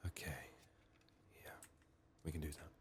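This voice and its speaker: A man giving soft-spoken ASMR game commentary, murmuring haltingly and slipping into whispers, his voice strained from being sick. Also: rumbling low, steady tone, a little hiss or hum. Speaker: ASMR gaming video host.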